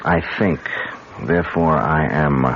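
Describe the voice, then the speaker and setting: An actor playing an educated, skeptical husband with erudite, emphatic phrasing in a 1970s-style radio drama. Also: male voice, a bass voice, unhurried. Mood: earnest, skeptical. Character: educated, skeptical husband, an academic studying the paranormal. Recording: dull, noisy old radio broadcast.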